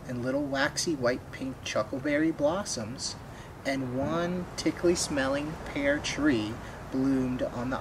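A young adult man reads a picture book aloud for children in an expressive way, at a medium pitch. He speaks directly to his young listeners between passages. The recording is made outdoors on a camera, with clear background noise. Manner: expressive, asks the listener questions. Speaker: storybook reader. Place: outdoors by a wooden fence. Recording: clearly noisy outdoor ambience.

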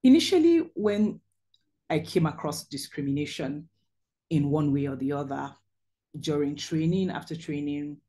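Middle-aged woman on a video call, low mellow voice, earnest, lots of ums. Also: expressive, moderate pace. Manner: earnest storytelling, frequent ums. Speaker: webinar host and surgeon. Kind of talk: presentation over slides.

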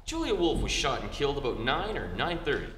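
A man's voice: medium pitch, big pitch swings, a little fast, fairly bright tone. A man playing a wry, flippant detective husband in an old-time radio play on stage. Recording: echoey, faintly noisy stage hall.

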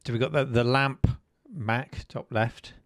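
A man's voice: mid-range voice, fairly even intonation, unhurried, no room sound, studio quality. A man hosting a live stream, chatting and reacting to viewers' videos. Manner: chatty, enthusiastic. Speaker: host.

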